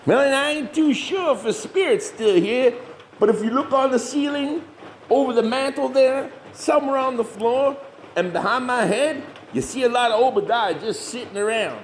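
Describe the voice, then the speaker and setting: A man's voice: very high voice, normal speed, slight background noise. A man acting in a comedy horror audio drama as a glib, bossy hillbilly older brother with a rural Southern US accent. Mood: mock indignant. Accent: rural Southern US, hillbilly dialect. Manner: folksy, self-righteous, glib. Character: bossy, shameless hillbilly monster hunter, scheming older brother.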